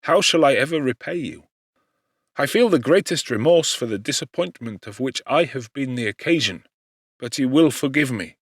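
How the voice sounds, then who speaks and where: A man voicing an overwrought, fervent young gentleman scientist in an audiobook, speaking in a formal, impassioned way. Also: medium pitch, lively intonation, normal speed, husky, dry recording, studio quality. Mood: grateful, remorseful.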